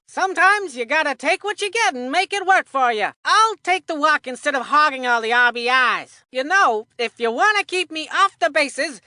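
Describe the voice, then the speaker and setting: A very high-pitched, bright and punchy young boy ballplayer from a cartoon sports game. He talks in a folksy, confident, chatty way with old-fashioned exclamations. The sound is close and dry, with no room around it. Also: dry recording, exaggerated voice. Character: folksy, cheerful, superstitious boy baseball player. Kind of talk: cartoon video game voicelines, sports banter.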